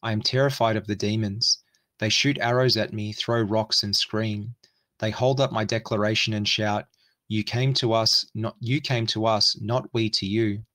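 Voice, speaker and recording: A man's voice: on the low side, fairly even intonation, normal speed, a bit bright, close to an everyday voice. A man reading the part of a wretched young penitent tormented by demons, in earnest, formal words. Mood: terrified.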